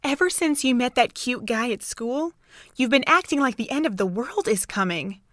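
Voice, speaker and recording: A woman's voice: on the high side, animated, moderate pace, fairly bright tone, no room sound, studio quality. A young woman playing a chirpy, caring and nosy college student in an amateur audio drama. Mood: firm, encouraging.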